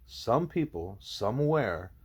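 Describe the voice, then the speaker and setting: A man voicing a calm, wise male gorilla teacher from a storybook, speaking in measured, formal, patient phrases. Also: mid-range voice, slightly rough, exaggerated voice. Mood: patient, didactic.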